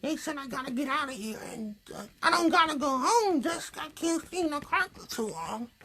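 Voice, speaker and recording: Man voicing a very high, sassy girl puppet. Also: normal speed, slight background noise, heavily acted. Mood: chatty. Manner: cheeky, deadpan comebacks. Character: sassy young girl puppet, Cindy Hot Chocolate. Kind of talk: puppet show banter.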